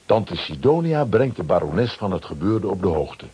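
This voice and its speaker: A man narrating a children's radio play as a scripted storyteller, with a very husky voice. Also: low voice, sing-song, normal speed, dark tone, muffled recording, slight background noise.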